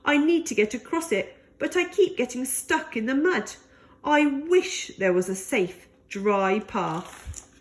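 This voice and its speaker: A woman plays a forlorn dog from a picture book. The voice is high and plaintive, moving up and down expressively. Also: animated, takes it slowly. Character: stuck, wistful dog in a storybook.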